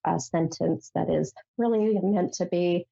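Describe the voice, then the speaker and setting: Nasal, gravelly-voiced woman psychic host. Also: fairly low pitch, unhurried, warm tone, highs cut off. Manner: chatty, rambling with many fillers. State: nasal from a head cold. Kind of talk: casual tarot call-in talk.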